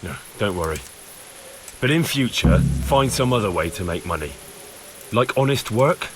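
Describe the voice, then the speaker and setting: Actor as a low-voiced, earnest young squire. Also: male voice, lively intonation, moderate pace, a little hiss or hum, close to an everyday voice. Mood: wry. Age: young adult. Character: dutiful young man, earnest, wry medieval squire. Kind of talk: video game dialogue acting. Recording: loud background music.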